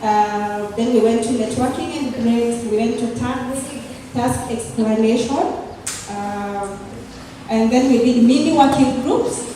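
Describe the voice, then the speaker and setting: A woman leading a workshop session in a loose, relaxed way, with many fillers and small laughs, recorded from a distant mic in a large meeting room. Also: on the high side, a little slow. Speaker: workshop facilitator. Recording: echoey hall recorded from far off with background noise.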